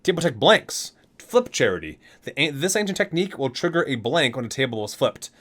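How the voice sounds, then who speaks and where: A man reading item descriptions aloud as an ASMR host, with deliberate, dramatic emphasis. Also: mid-range voice, sing-song, fairly bright tone.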